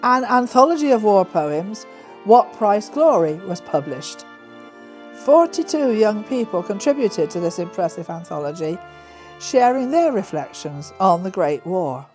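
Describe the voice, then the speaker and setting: A woman narrates from a script in a mid-range voice. She presents it as a documentary voice-over. Soft music sits faintly behind her words. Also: mid-range voice, big pitch swings, a little slow.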